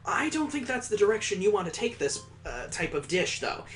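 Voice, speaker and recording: A man's voice: sits high, big pitch swings, a little fast, fairly bright tone, soft background music, pitched above the performer's own voice. A man voicing a precocious nine-year-old girl who narrates a visual novel in wordy, formal language. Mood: critical.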